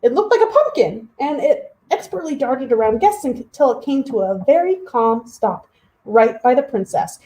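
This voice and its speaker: A woman hosting a children's story hour, reading expressively with animated, chatty asides to young listeners. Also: high-pitched, moderate pace, reverberant, a little hiss or hum, low-quality recording.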